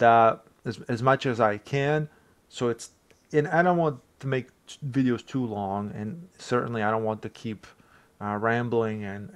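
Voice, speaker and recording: A man from Bosnia with a Bosnian accent, English his second language, casually talking through a software tutorial for his channel with rambling asides and self-deprecating chuckles. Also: medium pitch. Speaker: tech tutorial creator. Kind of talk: casual screen-recorded tutorial.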